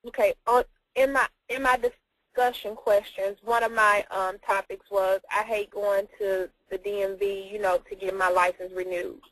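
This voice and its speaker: A woman's voice: mid-range voice, steady tone, normal speed, fairly bright tone, dry recording, poor audio. A woman student asking for help on a class call, hesitant and apologetic, rambling as she explains herself. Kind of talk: informal question on a class call.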